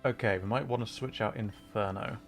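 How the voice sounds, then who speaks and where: A man's voice: lowish voice, brisk, vocal fry, faint music behind. A man hosting a livestream, chatting casually as he plays a game, with an apologetic, self-deprecating manner. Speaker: livestream host. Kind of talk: casual chat while streaming a game.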